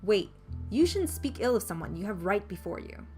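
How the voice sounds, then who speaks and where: A woman's voice: mid-range voice, big pitch swings, moderate pace, warm tone, faint music behind. A woman voicing a blunt, easily annoyed young protagonist in a testy manner.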